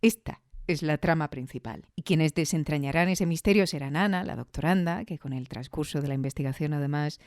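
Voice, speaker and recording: A woman's voice: sits low, a little fast, hardly any pauses, darkish tone, no echo, studio quality. A woman with a Spain Spanish accent, hosting a show in a conversational, reflective, explanatory way. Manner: reflective, explanatory, with rhetorical questions. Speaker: audio fiction review show host. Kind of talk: conversational commentary.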